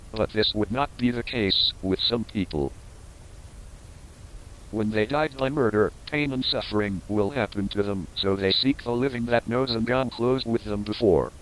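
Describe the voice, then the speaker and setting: A man's voice: lowish voice, a bit bright, a little hiss or hum, low-quality recording. A man narrating a scripted instructional horror tape in a formal, explanatory manner.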